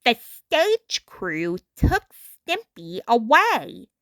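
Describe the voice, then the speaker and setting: Slow, bright-voiced woman reading a storybook. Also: a little high, huge pitch swings, no room sound. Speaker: storytime narrator. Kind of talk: expressive scripted storybook narration.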